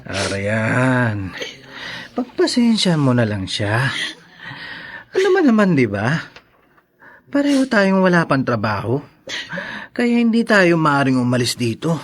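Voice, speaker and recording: A tipsy easygoing young husband in a radio drama, sleepy coaxing, voice up and down. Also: male voice, medium pitch, very animated, measured pace, long pauses. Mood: drowsy coaxing. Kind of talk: Tagalog radio drama acting.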